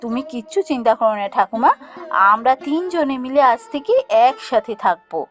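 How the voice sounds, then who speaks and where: Woman acting a gentle young wife, quick reassuring delivery, caring, optimistic, cartoon folktale, music behind. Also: a little high, lively intonation, natural voice. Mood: grateful. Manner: brisk, reassuring. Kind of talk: Bengali fairy-tale cartoon voice acting.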